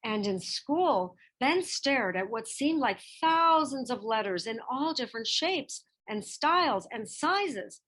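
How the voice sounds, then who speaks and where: A woman narrates a children's storybook in a fairly high voice. Her delivery is slow and measured, and her pitch moves widely as she stresses key phrases. It is expressive, scripted read-aloud narration. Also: low-quality recording. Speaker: reader of a children's picture book biography.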